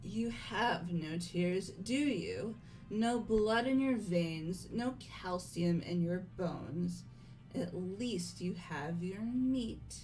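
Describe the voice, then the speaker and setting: A woman voicing a cool, composed young woman in a fan game dub, formal, deliberate and detached. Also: medium pitch, lively intonation, a little mellow, faint music behind, poor audio. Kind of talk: fan-dubbed visual novel acting.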